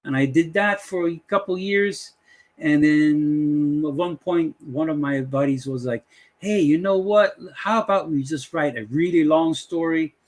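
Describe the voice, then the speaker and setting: A man's voice: a little high, a little slow. A middle-aged man from the Dutch West Indies with a Dutch accent, hosting a casual tutorial and rambling with many fillers. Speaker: channel host. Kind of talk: casual screen-share tutorial intro.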